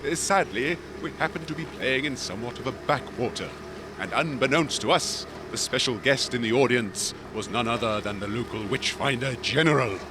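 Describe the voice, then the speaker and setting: A man playing a courtly lion, an old-fashioned gentleman actor with a florid, pompous, wordy way of talking in a comedy audio drama. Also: mid-range voice, moderate pace, background noise, natural voice. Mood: grandiose storytelling.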